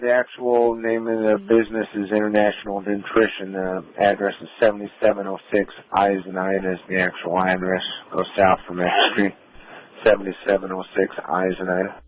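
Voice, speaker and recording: A man's voice: on the low side, monotone, normal speed. A man working as a dispatcher, relaying addresses and codes in procedural style over a police two-way radio. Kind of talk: live emergency radio dispatch. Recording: muffled police radio transmission.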